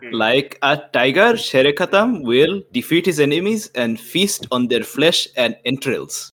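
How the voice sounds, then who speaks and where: Man with swooping, animated intonation. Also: mid-range voice, a little slow. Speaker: tabletop game player. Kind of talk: casual in-game banter.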